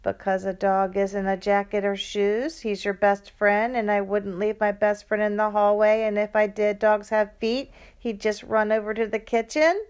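A woman's voice: medium pitch, little pitch movement, normal speed, few pauses, darkish tone, smooth, natural voice. A woman voicing an eager young girl who earnestly pleads for a dog in a storybook reading. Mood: pleading.

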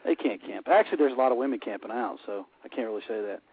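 A man's voice: medium pitch, quick pace, slightly rough, dry recording. A man with an Alabama Southern accent, calling in and chatting casually on a radio show, folksy and full of fillers. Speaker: caller co-hosting the interview. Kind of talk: casual radio phone chat. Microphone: telephone. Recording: dry, tinny phone line.

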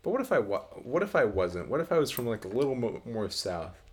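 A young American man from the Midwest co-hosting a podcast, chatting casually and comedically in a rambling, halting way with casual swearing. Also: mid-range voice, very animated, breathy edge. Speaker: podcast co-host.

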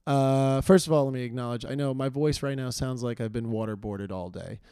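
A hoarse man hosts his podcast, rambling and self-deprecating with lots of fillers. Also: mid-range voice, a little fast, warm tone, no room sound, studio quality. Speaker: podcast host. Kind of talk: casual solo intro monologue.

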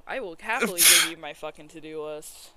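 A woman's voice: low voice, a little fast, crowd noise, rough recording. A woman, co-hosting a podcast in casual chat, blunt and swearing freely as she tells stories. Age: 20s. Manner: blunt, swears often, animated storytelling. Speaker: podcast co-host.

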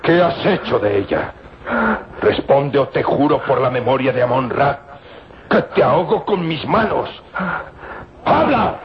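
A vengeful Egyptian, wildly swinging pitch. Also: male voice, animated, a little slow, noisy background. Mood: furious demanding. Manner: declamatory, menacing, solemn. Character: vengeful Egyptian lover, fierce and threatening. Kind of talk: melodramatic radio drama acting. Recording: muffled old radio drama, loud music.

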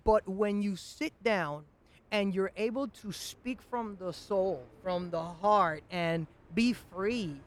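A man with a notably high speaking voice, chatting enthusiastically as a podcast co-host. He tells his stories in an animated, admiring way, with the practiced delivery of a voiceover artist. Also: slow. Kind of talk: casual podcast chat.

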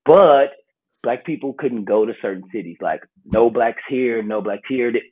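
An American man telling stories casually as a guest, slangy and animated in the way he acts out little exclamations. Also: medium pitch, normal speed, breathy edge. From Milwaukee, United States. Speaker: podcast guest, travel series host. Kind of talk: casual conversational storytelling. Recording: thin phone-like call audio.

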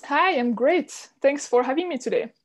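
A woman from Switzerland with a fairly high voice talks as a podcast guest. She is candid and reflective, speaking in a conversational interview style. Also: lively intonation, moderate pace.

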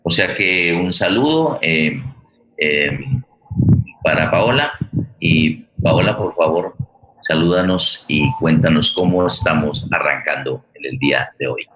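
A man with a Colombian accent hosting a streamed program, speaking formally in halting, wordy, deliberate phrases. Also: low-pitched, a bit bright, slight background noise. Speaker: host of a streamed theatre program. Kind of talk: formal spoken introduction. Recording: roomy echo on the voice.

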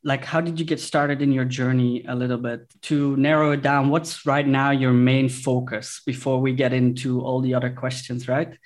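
A man hosts a podcast interview over a video call, his voice at an ordinary middle pitch. He talks haltingly, with many fillers and restarts, and sounds admiring of his guest. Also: level tone. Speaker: podcast host.

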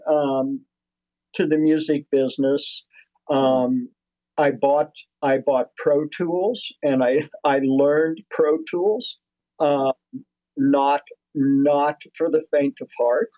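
A man's voice: on the high side, warm tone, dry recording, highs cut off. A man in his seventies, a musician and producer, telling his story in a casual interview with many restarts and fillers. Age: 70s.

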